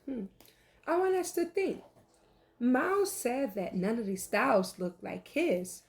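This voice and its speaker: A woman reading a picture book aloud like a teacher, with animated, deliberate delivery and questions for young listeners. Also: on the high side. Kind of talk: expressive children's read-aloud with questions to listeners.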